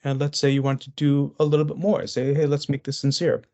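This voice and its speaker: A man's voice: medium pitch, flat delivery, a little fast, warm tone, lo-fi sound. A man presenting a business webinar over a video call. He talks conversationally through his slides, with frequent fillers and restarts.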